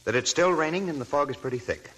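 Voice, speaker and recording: An actor playing a kind, awkward young army lieutenant in a 1940s radio play, hesitant and stammering. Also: male voice, fairly high pitch, expressive, fast talker, a bit bright, low-quality recording. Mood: earnest. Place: radio studio.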